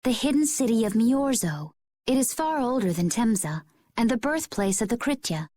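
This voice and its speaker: Poised, teasing game heroine. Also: female voice, fairly high pitch, big pitch swings. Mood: calm. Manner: measured, polished, gently teasing. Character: poised, composed, subtly teasing young woman adventurer. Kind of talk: video game cutscene acting.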